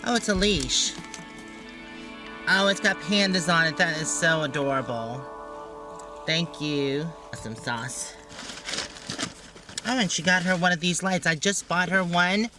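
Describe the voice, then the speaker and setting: A man with a fairly high voice talks casually, as a travel vlogger does, with music clearly behind him. His phrasing is informal and playful, full of small exclamations. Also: steady tone, a little slow, pauses often. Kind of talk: casual vlog chat.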